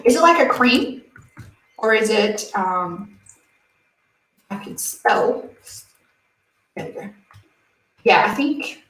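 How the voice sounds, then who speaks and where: A woman's voice: medium pitch, expressive, slow, pauses often, fairly bright tone. Chatty young woman, roomy distant mic. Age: 20s. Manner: chatty, giggly, reads comments aloud. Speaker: beauty channel host. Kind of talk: casual livestream chat reading viewer comments.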